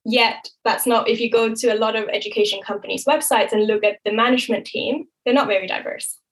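Chatty woman podcast guest, high voice. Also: fairly even intonation. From Thailand. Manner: chatty, frequent "right?" tags and quick laughs. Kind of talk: casual interview talk.

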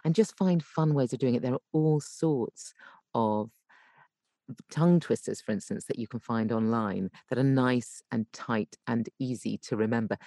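A woman's voice: rumbling low, moderate pace, warm tone, dry recording, studio quality. A woman giving tips as a podcast guest in casual, chatty and emphatic conversation. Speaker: podcast guest, voice actor and coach.

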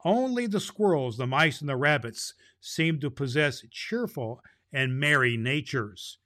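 Slow, jolly man reading as Santa. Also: medium pitch, lively intonation. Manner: slow, gentle, jolly, stumbles over words. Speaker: Santa Claus storyteller host. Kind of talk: reading a children's storybook aloud.

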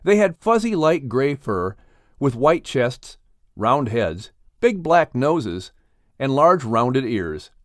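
Expressive male storybook narrator. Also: medium pitch, slow pace, dry recording, studio quality. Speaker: audiobook narrator. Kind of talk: scripted narration.

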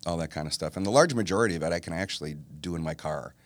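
Deep-voiced man chatting in a dry studio. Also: very fast, dry recording. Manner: relaxed, rambling, many fillers. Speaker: guest, tribute singer. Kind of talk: casual interview chat.

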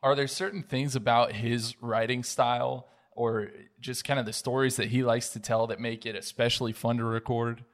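A man with an average-pitched voice co-hosts a podcast. He talks in a casual, conversational style as he interviews. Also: flat delivery, moderate pace, few pauses. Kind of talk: casual chat.

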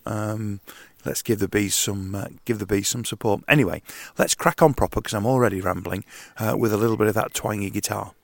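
Croaky, nasal man rambling outdoors. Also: fairly low pitch, a little fast, slight background noise. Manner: rambling, conversational, frequent ums and restarts. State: croaky and nasal from hay fever. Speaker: podcast host. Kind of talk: casual solo podcast monologue. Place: outdoors in a garden.

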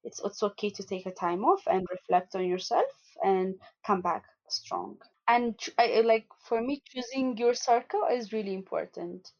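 A woman's voice: mid-range voice, lively intonation, moderate pace, few pauses. A young woman, an activist, answering interview questions earnestly, with frequent restarts and fillers. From Ethiopia. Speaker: activist guest. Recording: muffled lo-fi audio.

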